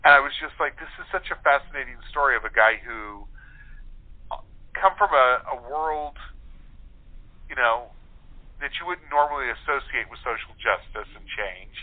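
A man talks over a thin, narrow telephone connection at a middling pitch. He is a guest in a casual interview, explaining things in an enthusiastic, conversational way. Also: lively intonation, normal speed, long pauses. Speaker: guest playwright. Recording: muffled narrow phone line.

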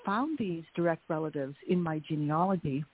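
A woman's voice: sits low, moderate pace, breathy edge. A middle-aged woman from Canada, an author, telling her family story in an interview and often repeating words as she recalls. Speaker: author guest. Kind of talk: interview over phone. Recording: tinny phone line.

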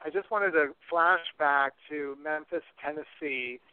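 Guy hosting a podcast, smooth clear voice, chatty, dry phone-line audio. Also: on the high side, unhurried, no echo. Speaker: podcast host. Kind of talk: conversational hosting. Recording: thin phone-like sound.

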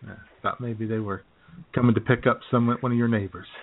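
A man's voice: on the low side, steady tone, brisk. A man co-hosting a podcast, telling stories in a laid-back, laughing chat. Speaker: podcast co-host. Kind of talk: casual chat. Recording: tinny phone-like lo-fi audio.

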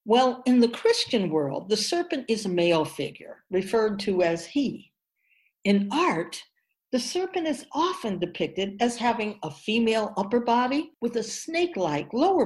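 A woman playing a wise, teasing, ageless female spirit guide in a radio drama, speaking in a measured, knowing way. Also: fairly high pitch, a little mellow. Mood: knowing, instructive. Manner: measured, knowing, rhetorical questioning.

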